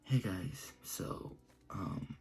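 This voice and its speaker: A man in his thirties, sick and hoarse, coughing and talking weakly into a phone he holds at arm's length in a small bedroom. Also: dark tone, faint music behind. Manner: weak, low and halting. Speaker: vlogger. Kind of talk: casual self-recorded video diary. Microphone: phone held at arm's length.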